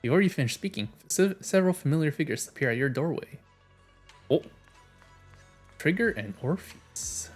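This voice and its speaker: A game streamer talks casually and reads dialogue aloud in a mid-pitched, very lively voice that moves freely up and down. The delivery is informal, with small stumbles and self-corrections, and music plays behind it. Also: normal speed, long pauses.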